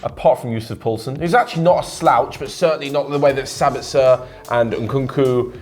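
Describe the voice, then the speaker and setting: British man, co-host, jokey and quick, mid voice, faint music bed. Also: medium pitch, a little mellow. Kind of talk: casual football chat.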